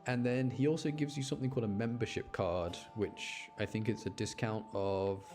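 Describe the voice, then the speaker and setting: A man's voice: fairly low pitch, steady tone, normal speed, darkish tone, faint music behind. A man giving casual, enthusiastic gameplay commentary in a rambling, slangy way. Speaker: game streamer.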